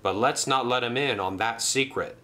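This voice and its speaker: A man's voice: mid-range voice, moderate pace, pushed and bright, lightly acted. A man voicing a fussy male railway boss who speaks properly and exclaims. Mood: scheming.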